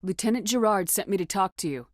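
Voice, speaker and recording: A woman's voice: mid-range voice, lively intonation, fast talker, a little mellow, no room sound, clean studio sound, natural voice. A woman playing a steely but compassionate military starship commander in a video game, speaking in a firm, direct and controlled way. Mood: firm, assertive. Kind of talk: scripted video game dialogue acting.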